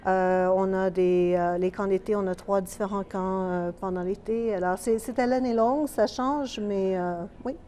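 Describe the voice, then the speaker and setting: A woman with a Quebec French accent in a casual TV interview, explaining in a measured way with fillers. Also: mid-range voice, fairly even intonation, warm tone, a little hiss or hum. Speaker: school programs coordinator.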